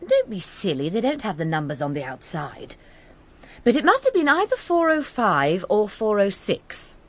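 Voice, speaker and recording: An actress playing a spirited, bossy young woman in a radio mystery, brisk and lively. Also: medium pitch. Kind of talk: radio drama acting.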